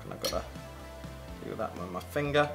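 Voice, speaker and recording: A young British man co-hosting a casual kitchen gadget test, chatting with animated enthusiasm while he demonstrates. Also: lowish voice, brisk, vocal fry, soft background music. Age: young adult. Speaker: co-host. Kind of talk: casual product-testing chat. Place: indoor home studio set at a table.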